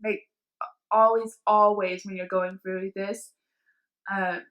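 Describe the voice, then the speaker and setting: A young woman with a hoarse voice from a cold, casually talking through a craft tutorial from her bedroom. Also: medium pitch, flat delivery, dull audio. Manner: slow, rambling, many fillers. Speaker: cosplay vlogger. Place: bedroom at home.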